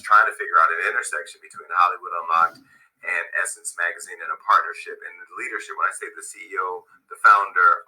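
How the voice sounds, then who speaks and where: A man giving a direct-to-camera statement from an office, speaking in a deliberate, measured way and repeating phrases for emphasis. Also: low-pitched, pushed and bright, poor audio. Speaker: media founder giving a video statement.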